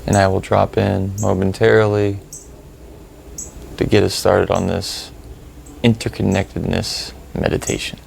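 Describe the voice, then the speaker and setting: Man, meditation guide, heavy vocal fry, flat intonation, gentle unhurried instructions, informal phrasing. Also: deep voice, slight background noise.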